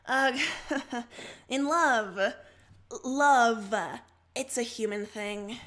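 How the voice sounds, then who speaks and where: Teasing, easygoing girl played by a female reader, high-pitched, for a read-aloud story. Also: extremely slow, fairly bright tone. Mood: casual explaining.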